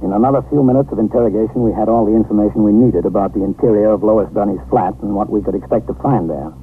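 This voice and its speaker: An actor playing a calm, reasonable American police captain in a matter-of-fact 1950s radio police drama. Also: male voice, lowish voice, fast, lightly acted. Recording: noisy, tinny 1950s radio recording.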